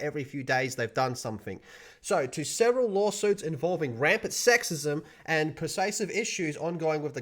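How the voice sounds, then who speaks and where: Male gaming news host, slightly high voice, casual and sardonic, speaks bluntly with loose, sweary commentary.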